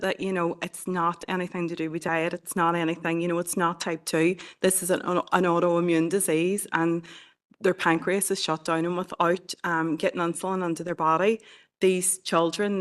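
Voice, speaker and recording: Northern Irish woman, 40s, charity advocate, forthright, low level delivery. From Northern Ireland. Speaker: charity representative. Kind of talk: addressing a council committee.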